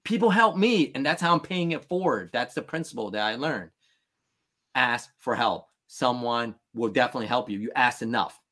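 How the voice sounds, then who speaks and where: A man's voice: medium pitch, expressive, normal speed, sharp tone. A man in his thirties, born in Vietnam, talking as a podcast guest in an earnest, emphatic, motivational way. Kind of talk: candid interview talk.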